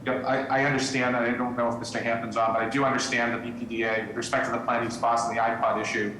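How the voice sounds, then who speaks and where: Man, formal, rapid, flat, roomy. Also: medium pitch, a little hiss or hum. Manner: quick, formal. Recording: echoey call audio.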